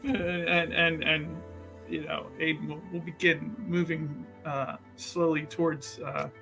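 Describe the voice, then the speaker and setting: A man at a tabletop game, speaking slowly and deliberately, often repeating himself as he works out what his character does. His voice is bright and forward and sits a bit high for a man, with faint music behind. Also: little pitch movement, creaky. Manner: deliberate, repeats words as he thinks. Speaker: player.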